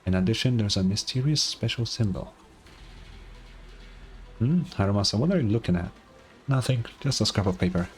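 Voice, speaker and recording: Man, low voice, game streamer, reading narration aloud, murmured asides, game music underneath. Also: lively intonation, moderate pace, pauses often. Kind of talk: gameplay commentary with read-aloud story narration. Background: video game music.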